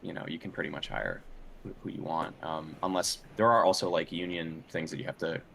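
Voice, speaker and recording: Male composer as interview guest, low voice, loose conversational delivery, rumbly noise on the recording. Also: a little fast, gravelly, a bit bright.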